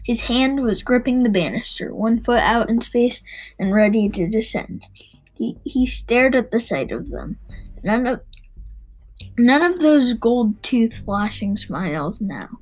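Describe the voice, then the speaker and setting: A woman's voice: on the high side, animated, slow, poor audio. A woman reading a children's novel aloud, stumbling now and then and rereading phrases. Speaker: reader and host of a read-aloud show.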